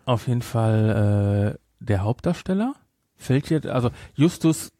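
A man's voice: medium pitch, lively intonation, measured pace, mellow, dry recording, studio quality. A man speaking as a podcast host, discussing a film casually and emphatically, repeating his points to drive them home.

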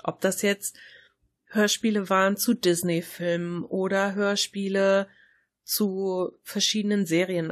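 A middle-aged woman, chatting casually and colloquially as a podcast co-host. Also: mid-range voice. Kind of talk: casual chat, reminiscing.

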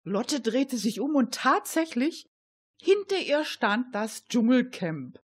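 A woman's voice: fairly high pitch, very animated, takes it slowly, slightly rough. A woman narrating a crime radio play in scripted storytelling style.

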